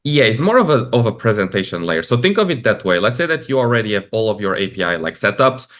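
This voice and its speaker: A man's voice: lowish voice, big pitch swings, fast, a bit bright. A man from Portugal, a startup founder, talking loosely in an interview, with frequent fillers. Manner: loose and conversational, many fillers like "like" and "uh". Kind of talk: casual interview talk.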